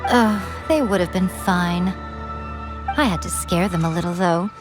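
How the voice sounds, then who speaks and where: A woman's voice: lowish voice, measured pace, lightly acted. A woman voicing a teasing, self-assured fox spirit shrine maiden in a video game, composed and haughty. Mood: sly, composed. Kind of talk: video game character dialogue. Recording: music behind the voice.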